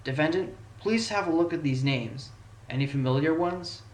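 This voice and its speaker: A man's voice: a little high, moderate pace, slight background noise, lightly acted. A man playing a determined, probing fox defense attorney in a fan courtroom audio drama, given to dramatic delivery. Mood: forceful, challenging. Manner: dramatic shouted objections, pondering asides. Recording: roomy echo under loud music.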